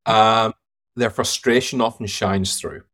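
A man's voice: on the low side, fairly even intonation, measured pace, a bit bright. A man with an Irish accent, a guest on a podcast interview, telling stories emphatically and giving blunt verdicts. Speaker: guest sales trainer.

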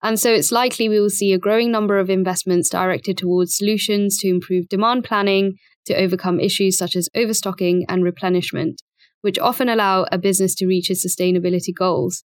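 Female journalist on a podcast, medium pitch, dry recording, formal delivery of prepared takeaways. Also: steady tone, a little fast, no room sound. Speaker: financial journalist, podcast co-presenter.

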